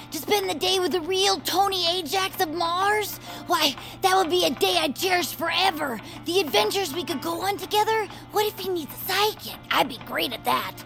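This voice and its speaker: An excitable little dreamer in a family audio adventure, extremely high-pitched and gushing. She sounds youthful and eager, with music behind her. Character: excitable, dreamy young cartoonish fan. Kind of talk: family audio-fiction acting.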